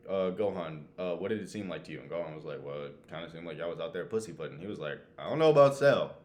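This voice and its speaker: A man's voice: animated, fast talker. A young man co-hosting a podcast, chatting casually about fandom in emphatic slang. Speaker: podcast co-host. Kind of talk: casual fan chat. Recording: clear background noise with rumble.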